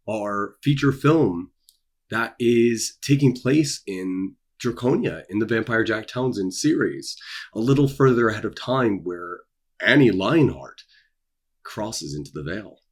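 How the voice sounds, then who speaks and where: A young adult man with a husky voice, talking casually to his audience as an author and creator, with theatrical emphasis and dramatic pauses. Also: lowish voice. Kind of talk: casual promotional vlog, dramatic delivery. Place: small dark room indoors.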